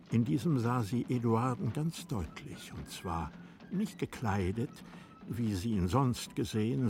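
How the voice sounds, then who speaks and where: A man reading literary narration for a radio drama in a measured, deliberate manner with dramatic pauses. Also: lowish voice.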